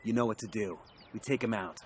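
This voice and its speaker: An actor playing a tough, dry, businesslike special forces soldier in a video game, clipped and commanding. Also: male voice, lowish voice, fairly quick, a little mellow, slight background noise, natural voice. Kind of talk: video game cutscene acting. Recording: music behind.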